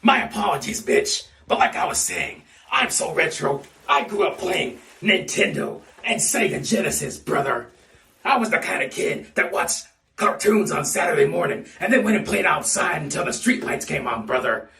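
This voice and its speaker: A man delivers a mock wrestling promo full of swagger, his voice raised, gravelly and sharp. He sits back from the mic in an echoey room. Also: fairly high pitch, big pitch swings, normal speed, distant mic. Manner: blustering wrestler bravado, tacks on "brother" and "dude". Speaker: fan wrestling promo performer. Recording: roomy echo, off-mic.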